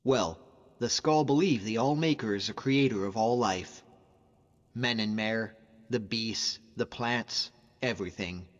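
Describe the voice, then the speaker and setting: A man's voice: fairly low pitch, a little slow, in a large hall, dull audio, natural voice. A man voicing a young, earnest, devout Nordic hunter, a loyal companion in a video game, talking in an informal, conversational way. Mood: reflective, earnest. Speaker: video game follower character. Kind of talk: conversational game character voice acting.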